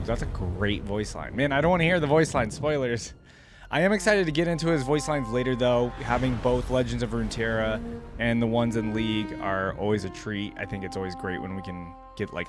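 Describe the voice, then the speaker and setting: A man hosting a game reaction video, giving casual live commentary and musing aloud in an easygoing way. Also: mid-range voice. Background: game music.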